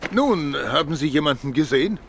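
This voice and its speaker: An actor playing a brisk, authoritative senior police chief in a German radio play. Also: male voice, on the high side, expressive, vocal fry, fairly bright tone, a little hiss or hum. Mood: searching.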